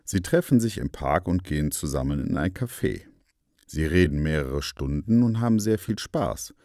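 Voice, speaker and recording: Man, extremely low pitch, lively intonation, scripted lesson narration, explanatory storytelling for learners. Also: moderate pace, no echo, studio quality.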